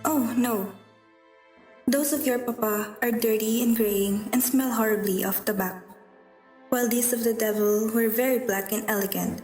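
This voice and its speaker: A woman's voice: fairly high pitch, steady tone, moderate pace, darkish tone, natural voice. A woman playing a proud, haughty woman in an amateur radio drama, with a theatrical, emphatic delivery. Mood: wistful storytelling. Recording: distant, echoey room, faint music.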